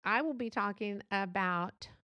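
A woman's voice: mid-range voice, a little slow, bright, forceful tone, no echo. A middle-aged woman from Texas with a Texan accent, hosting a podcast in a chatty, rambling, self-deprecating solo monologue. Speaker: podcast host.

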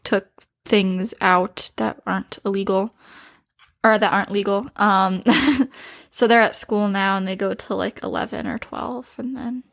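A teenage girl co-hosting a casual podcast with her dad, chatty and giggly, with quick asides. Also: medium pitch, fairly even intonation, gravelly, fairly bright tone, no room sound, studio quality. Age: teens. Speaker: co-host, daughter.

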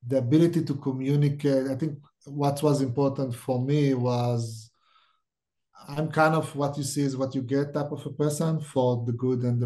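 A man's voice: medium pitch, warm tone. A middle-aged man from Israel with an Israeli accent, a startup CEO speaking directly and thinking aloud as a podcast guest. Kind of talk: podcast interview.

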